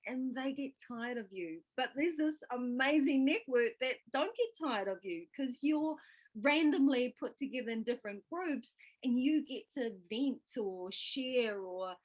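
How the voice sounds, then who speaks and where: A woman's voice: fairly high pitch, rough recording. A woman talking enthusiastically and conversationally on a video call. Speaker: entrepreneur sharing her story.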